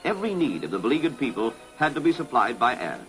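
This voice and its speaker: Male British narrator for a 1960s propaganda documentary: formal, authoritative, expressive intonation, music underneath. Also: mid-range voice, quick pace, poor audio. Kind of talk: 1960s public information film narration. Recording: vintage 1960s film soundtrack, music behind.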